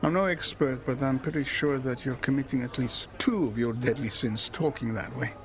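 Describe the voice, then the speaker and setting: A man's voice: mid-range voice, moderate pace, soft background music, close to an everyday voice. A man acting a sceptical drinking companion in a radio soap, with a dry, sarcastic delivery.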